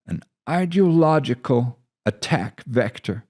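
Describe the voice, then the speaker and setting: A man's voice: medium pitch, expressive, slow pace, mellow, voice pushed up. A man voicing a zealous military commander from a short story, speaking in an emphatic, oratorical way. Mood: cold, accusing.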